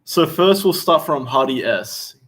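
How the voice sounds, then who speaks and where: A young Australian man, a chef, hosting a casual livestream Q&A while seated, reading out viewer questions and answering in a relaxed, chatty way. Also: a little high, measured pace. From Western Australia. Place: seated indoors.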